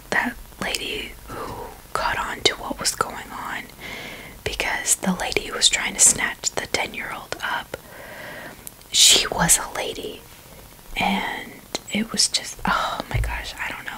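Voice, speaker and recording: Slow, breathy whispering woman. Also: mid-range voice, sharp tone, rough recording. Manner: hesitant, informal, frequent "like" and "you know". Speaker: ASMR video creator. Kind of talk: ASMR, rambling personal chat.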